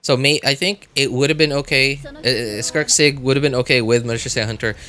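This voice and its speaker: A man chatting casually to viewers as a game livestreamer, rambling and often repeating himself in a halting way. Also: medium pitch, fairly even intonation, bright, forceful tone.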